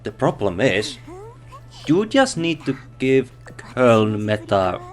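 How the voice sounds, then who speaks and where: A man reviewing a game off the cuff, in no hurry at all. He pauses often, thinks aloud and corrects his own figures. Faint music plays underneath. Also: mid-range voice, very animated. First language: Finnish.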